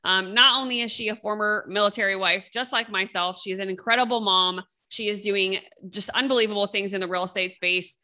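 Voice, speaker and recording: A woman hosts a casual podcast interview with a bright, forceful voice. She gushes over her guest and keeps checking in with "right?" as she talks. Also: medium pitch, steady tone, brisk, few pauses. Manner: gushing, enthusiastic, lots of fillers and "right?". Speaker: podcast host.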